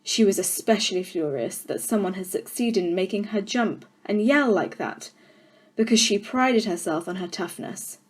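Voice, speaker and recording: Female storyteller, medium pitch, deliberate phrasing, expressive children's book narration.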